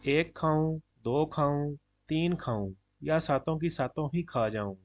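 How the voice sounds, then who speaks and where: A man's voice: on the high side, slow, no echo, highs cut off. A man voicing a greedy, simple-minded, blustering old farmer in a cartoon moral story. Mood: boastful.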